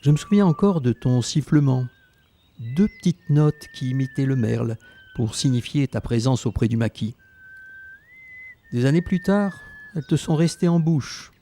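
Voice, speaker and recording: A man's voice: medium pitch, expressive, moderate pace, warm tone, slight background noise, close to an everyday voice. A man playing an elderly former Resistance fighter, narrating his memories tenderly and reflectively in a radio drama. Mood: tender, nostalgic.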